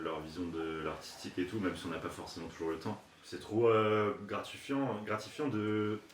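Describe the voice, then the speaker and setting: A man with a very low voice talks quickly and casually, as in a relaxed interview. He sounds like he is in an echoey room with faint noise. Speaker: event organiser.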